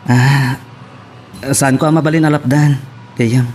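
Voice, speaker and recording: A man's voice: mid-range voice, fairly even intonation, a little slow, darkish tone, natural voice. A man acting a good-humoured young farmer in love, easygoing, in an Ilocano audio drama. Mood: easygoing, resigned. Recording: noisy background.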